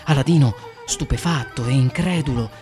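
A man reading a fairy tale aloud in expressive storytelling narration. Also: mid-range voice, moderate pace, faint music behind. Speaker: guest reader of fairy tales.

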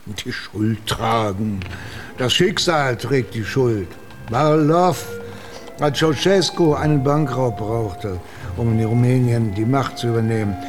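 A man's voice: mid-range voice, slow, soft background music, close to an everyday voice. A man playing a pompous, scheming Soviet leader in a German radio drama, speaking in a declamatory way. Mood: pompous, declaiming.

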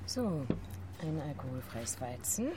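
An actress playing a polite, obliging waitress in a naturalistic radio drama. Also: female voice, rumbling low, big pitch swings, measured pace, exaggerated voice. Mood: courteous. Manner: polite service manner. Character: polite, obliging waitress serving train passengers. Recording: clear ambient noise behind.

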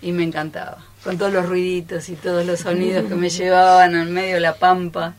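A woman chats on live radio in a studio. Her pitch is a little low, and faint noise and ambience sit behind her. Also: little pitch movement, a little fast. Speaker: radio theatre troupe member. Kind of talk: informal live radio chat.

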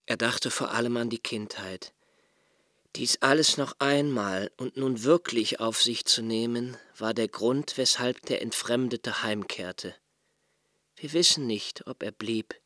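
An actor playing a brooding, intense male narrator in a literary radio drama, with halting, contemplative and emphatic phrasing. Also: mid-range voice, bright, forceful tone, dry recording, clean studio sound. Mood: quiet, contemplative. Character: brooding male narrator reciting a prodigal's homecoming, searching and intense.

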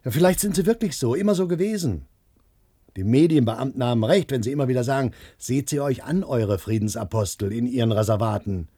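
Husky, grim young man played by an actor. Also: mid-range voice, brisk, mellow, dry recording, clean studio sound, close to an everyday voice. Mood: bitter, agitated. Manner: grim, argumentative. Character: embittered young ex-soldier, doubting his father. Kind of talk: German radio play.

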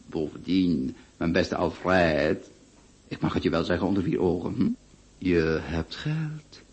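A man's voice: low voice, a little slow. A man playing a jovial, overbearing middle-aged marquis in a radio drama, with a habit of repeating words for emphasis. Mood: persuasive. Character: jovial, domineering marquis pushing his daughter's marriage.

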